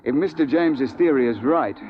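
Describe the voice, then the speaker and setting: A man's voice: on the high side, a little fast, lightly acted. A man playing a suave, unflappable British big-game hunter in a 1950s radio drama, speaking in an urbane, understated way. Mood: calmly resigned. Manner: urbane, understated, drily unruffled. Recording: muffled old radio broadcast, music behind.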